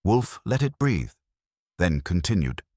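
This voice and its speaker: A man reads detective fiction in a very low, husky voice with a measured delivery. His pitch moves in lively swings through scripted, first-person narration, and the sound is dry and close. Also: dry recording. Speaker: narrator of a detective mystery story.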